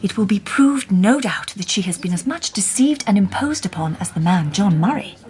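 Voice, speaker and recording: An actress playing a poised, shrewd Victorian lady detective in a radio drama, speaking softly and breathily, half in a whisper. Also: mid-range voice, expressive, normal speed, lightly acted. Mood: measured, knowing.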